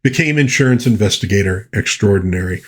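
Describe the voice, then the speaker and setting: Slightly husky man, chatty pop culture host. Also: fairly low pitch, lively intonation, fast talker. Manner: conversational, self-correcting, enthusiastic fan. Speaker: host of a pop culture channel reviewing old pulp fiction. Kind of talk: casual rambling book review to viewers, with short read-aloud passages.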